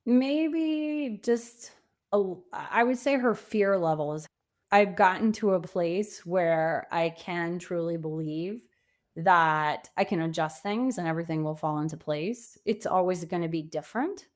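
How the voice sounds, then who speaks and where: A woman's voice: lowish voice, a little slow. A woman in her fifties giving candid, reflective answers as a guest in a conversational interview. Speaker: podcast guest, career coach.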